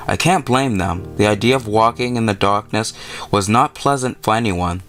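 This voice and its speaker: Low-voiced man reading a game aloud. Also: moderate pace, a bit bright, soft background music. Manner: stumbles over words while reading, correcting himself. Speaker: let's-play host. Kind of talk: reading a visual novel aloud with casual commentary.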